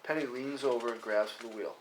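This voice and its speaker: A man's voice: mid-range voice, steady tone, fairly quick. A man reading out scene headings and action lines as scripted narration at a table read. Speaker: stage-direction reader. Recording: noisy live room recording with clicks.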